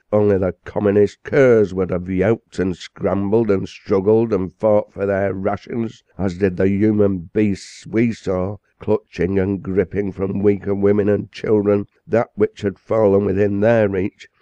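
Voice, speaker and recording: A man voicing an excitable, indignant parson who denounces with vehemence. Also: on the low side, smooth, dry recording, highs cut off, close to an everyday voice. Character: excitable indignant male parson, blunt and fiery.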